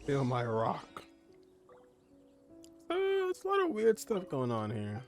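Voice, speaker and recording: Male gamer, casual playthrough commentary, average pitch, faint music behind. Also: wildly swooping pitch, slow. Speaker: gaming video host.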